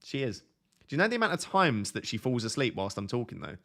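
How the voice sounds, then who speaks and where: A man's voice: medium pitch, very animated, fairly quick, creaky, fairly bright tone. Soothing young man streaming chat. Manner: reads viewer questions aloud and answers them; self-described relaxing, soothing voice. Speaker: fantasy football streamer. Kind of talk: casual live stream chat.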